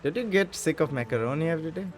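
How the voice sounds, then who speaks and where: A man's voice: fairly high pitch, animated, racing. A man giving casual, slangy gameplay commentary and reacting as he plays. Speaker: gaming streamer. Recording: game music behind. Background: game music.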